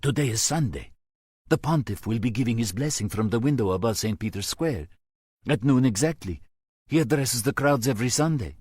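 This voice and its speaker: A man's voice: low-pitched, sing-song, moderate pace, a little mellow, breathy edge, no echo, studio quality, heavily acted. A man voicing an anxious middle-aged priest who speaks earnestly and apologetically. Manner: earnest, pleading.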